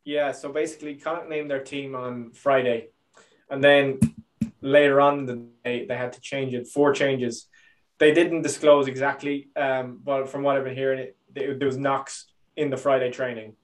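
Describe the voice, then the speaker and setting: A man's voice: mid-range voice, flat delivery, normal speed, distant mic, low-quality recording. Laughing man, a little off-mic. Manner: rambling, laughing, many fillers. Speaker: podcast co-host. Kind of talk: casual banter.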